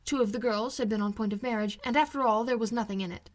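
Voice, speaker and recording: A woman's voice: on the high side, steady tone, fast. A woman reading classic fiction aloud as scripted audiobook narration. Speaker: amateur audiobook reader.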